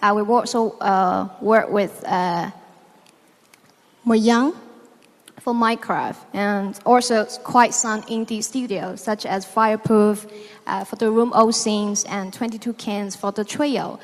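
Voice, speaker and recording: A woman's voice: medium pitch, steady tone, measured pace. A woman on a conference panel speaking non-native English, hesitant with many fillers. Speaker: panelist, business development. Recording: long reverb of a conference hall.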